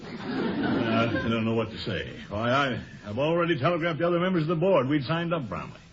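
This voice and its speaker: An actor playing a blustering, forgetful middle-aged businessman in a 1950s radio comedy, flustered and hesitant in an expressive, theatrical way. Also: medium pitch, a little fast, heavy background noise, natural voice. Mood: stunned, blustering. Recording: dull vintage radio recording.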